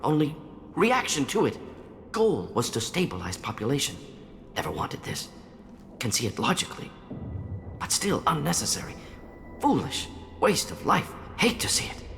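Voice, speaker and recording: A man's voice: medium pitch, wildly swooping pitch, moderate pace, pauses often, a bit bright. A man acting as a brilliant, defensive and remorseful alien scientist in a video game, speaking in clipped, analytical fragments that drop small words. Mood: agitated. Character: fast-thinking alien scientist doctor, brilliant, defensive, remorseful male Salarian. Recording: long reverb with music underneath.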